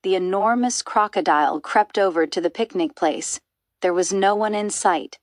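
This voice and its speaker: A woman's voice: mid-range voice, normal speed, dry recording, clean studio sound. A woman narrating a children's storybook as an audiobook reader.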